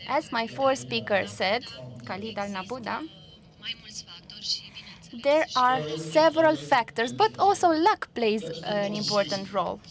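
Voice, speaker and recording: A woman interprets into English at a very slow, measured pace, with a slightly high voice. An audible audience murmurs behind her. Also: lively intonation. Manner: measured, careful delivery with pauses. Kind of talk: simultaneous interpreting. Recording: audience crowd noise behind.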